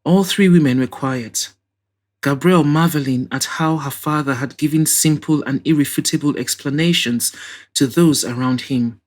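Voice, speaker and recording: A woman's voice: deep voice, moderate pace, darkish tone. A woman reading scripted story narration as the narrator of an audio drama.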